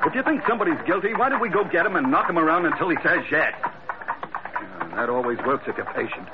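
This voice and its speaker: A man acting a rough, good-natured sailor sidekick in a vintage radio detective drama, folksy, hesitant and grumbling. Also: on the high side, lively intonation, a little fast, close to an everyday voice. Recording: tinny, noisy vintage radio recording.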